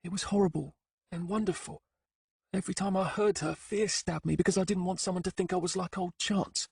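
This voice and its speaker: A man voicing a frightened young boy who speaks in hushed, halting words with a husky voice. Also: high-pitched, steady tone, no echo, clean studio sound, pitched above the performer's own voice, heavily acted. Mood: haunted confession.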